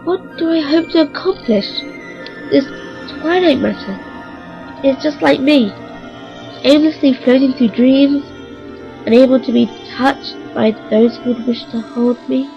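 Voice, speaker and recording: A woman's voice: high-pitched, a little slow, vocal fry. A woman playing an anxious, regal young ruler of a twilight realm in a fantasy radio play, speaking in a formal, musing, theatrical way. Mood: wistful, musing. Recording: dull lo-fi home recording. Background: music.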